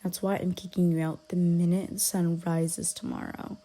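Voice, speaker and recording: A woman playing an aloof, grudgingly caring young werewolf in an ASMR roleplay, soft and breathy with whispered dips and blunt, guarded, halting delivery. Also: fairly low pitch, little pitch movement. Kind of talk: ASMR roleplay, intimate one-sided character dialogue.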